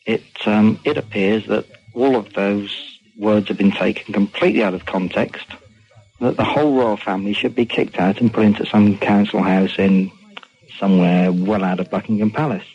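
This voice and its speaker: Deepish husky guy on a radio call-in, careful, lots of pauses, muffled line. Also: fairly even intonation. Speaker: phone-in caller. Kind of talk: measured opinion on talk radio. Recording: dull phone call on radio.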